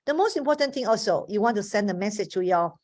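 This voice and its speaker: A woman's voice: mid-range voice, expressive, quick pace, darkish tone. A woman in her fifties from Malaysia, a native Chinese speaker with a Malaysian accent, giving an earnest motivational talk on a video call with frequent restarts. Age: 50s. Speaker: webinar speaker.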